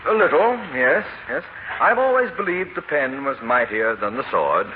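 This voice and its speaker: An actor playing a genteel, self-important mayor in a 1940s radio comedy, speaking in an urbane, pompous manner on a tinny old recording. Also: male voice, mid-range voice, sing-song, moderate pace, noisy background, close to an everyday voice. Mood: urbane, pleased.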